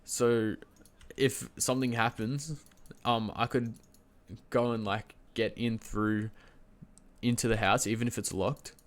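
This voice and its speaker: A man's voice: medium pitch, fairly even intonation, measured pace. A young man streaming from home, standing and shifting around at his desk mic. He has a cold, so his voice comes out nasal and congested. He rambles through his story in a casual, chatty way, trips over his words and keeps breaking into little laughs. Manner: loose and chatty, lots of fillers and restarts, laughs mid-sentence; standing and moving around while talking.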